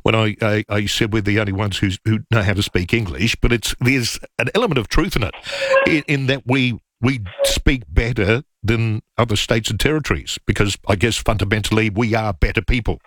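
A man hosts talkback radio with a sarcastic, teasing wit. His voice sits on the low side. The studio sound is dry and close. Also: a little fast, hardly any pauses, fairly bright tone, no echo. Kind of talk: casual radio banter.

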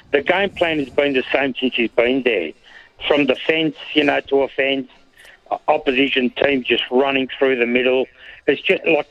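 A man's voice: mid-range voice, dry recording. Australian man, talkback caller griping about a coach, muffled dry line. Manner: critical, hurried. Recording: dry dull phone line.

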